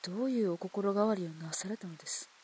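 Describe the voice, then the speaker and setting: An actress playing a guarded, wounded woman of old Japan, speaking formally and softly in a radio drama. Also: medium pitch, fast, husky. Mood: reproachful. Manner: hushed, formal, wary. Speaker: actor in amateur radio drama. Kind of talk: restrained period radio drama acting. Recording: hiss and rain-like background noise.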